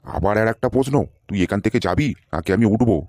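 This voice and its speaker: A man's voice: on the low side, lively intonation, quick pace, darkish tone. A man voicing an irritable, sarcastic boss in a comedy cartoon, scolding and dismissive. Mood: angry, exasperated. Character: irritable, sarcastic male boss interviewing job seekers.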